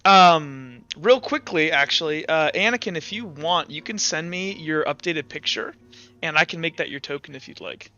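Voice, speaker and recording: A man acting as game master in a casual tabletop roleplay session, speaking haltingly with frequent restarts. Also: fairly high pitch, sharp tone, muffled recording.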